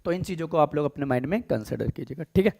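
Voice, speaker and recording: A young Indian man with a sore, strained throat teaching a live online exam-prep class, mixing Hindi and English, clearing his throat often and speaking fondly to his students. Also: on the high side, lively intonation, quick pace, a little mellow. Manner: clears throat often, affectionate, calls students 'beta' and 'my dear children'.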